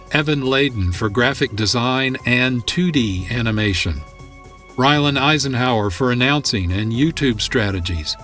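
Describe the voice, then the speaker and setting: A male radio host reads scripted narration in a mid-pitched voice. Music plays faintly behind him. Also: fairly bright tone. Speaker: producer and host.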